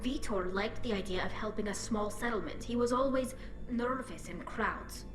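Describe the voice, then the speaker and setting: Female actor as young alien woman, earnest, firm, mid-pitched, echoing, music underneath, sci-fi game dialogue. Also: moderate pace, lightly acted. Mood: earnest, cooperative. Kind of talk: cinematic video game dialogue. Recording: echoey, music behind.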